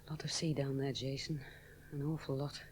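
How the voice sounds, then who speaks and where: A woman's voice: a bass voice, flat delivery, a little fast, darkish tone, slight background noise. An actress playing a young woman aviator alone over the sea, musing inwardly, often dropping to a whisper. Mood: brooding. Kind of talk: 1970s radio drama inner monologue.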